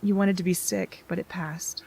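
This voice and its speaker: A woman's voice: fairly low pitch, fairly even intonation, moderate pace, gravelly, a little mellow, slightly rough, rough recording. An actress playing a plain-spoken female companion in an audio drama. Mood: tender reminiscence.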